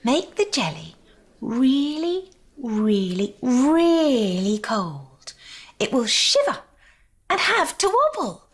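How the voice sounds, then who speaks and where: A woman voicing a small, proud, eager party guest with a careful, hushed manner in a storybook. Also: sits high, sing-song, slow pace, breathy edge, pitched above the performer's own voice, heavily acted. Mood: explaining.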